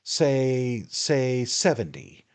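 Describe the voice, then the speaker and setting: A man doing a fussy little storybook attorney who wheedles and flatters at every turn. The voice rides high and lively, swooping up as he coaxes. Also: extremely slow, smooth, heavily acted. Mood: coaxing. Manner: ingratiating, wheedling, repeats "my dear sir".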